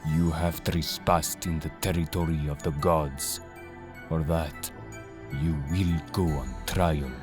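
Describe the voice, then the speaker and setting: A man's voice: a bass voice, fairly even intonation, music in the background. A man playing a solemn, mysterious servant of a death god in an audio drama, speaking formally and ominously. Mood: cold judgment. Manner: slow, ominous, formal delivery.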